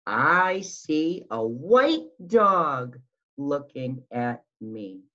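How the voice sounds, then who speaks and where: Slow, sing-song woman reading to children. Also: sits low, very animated, fairly bright tone. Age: middle-aged. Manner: sing-song, questioning, addressing children directly. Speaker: school behavior specialist reading to young children. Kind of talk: read-aloud of a picture book for preschoolers. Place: small room at home, indoors. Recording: dull lo-fi webcam sound.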